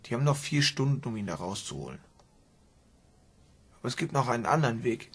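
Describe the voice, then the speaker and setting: Husky, intense son. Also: male voice, medium pitch, very animated, brisk. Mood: urgent, pleading. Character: intense, earnest son absorbed in online game. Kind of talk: German radio crime drama acting.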